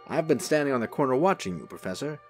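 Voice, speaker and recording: Actor as a suave, lively, charming angel. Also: male voice, medium pitch, brisk, soft background music, close to an everyday voice. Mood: effusive, charming. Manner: smooth-talking, ingratiating. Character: suave, charming, mysterious angel in human guise. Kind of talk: period radio-drama acting.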